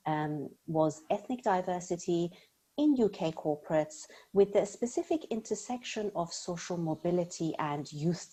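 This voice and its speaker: A woman's voice: on the low side, a little mellow. A woman who founded a charity, speaking articulately and passionately on a webinar panel.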